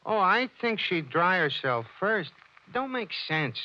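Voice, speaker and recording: Jittery detective sidekick. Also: male voice, high voice, very animated, measured pace, sharp tone, heavily acted. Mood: nervous. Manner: jittery, wisecracking. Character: nervous male sidekick, jumpy and wisecracking. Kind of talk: 1940s radio acting. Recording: lo-fi 1940s radio broadcast.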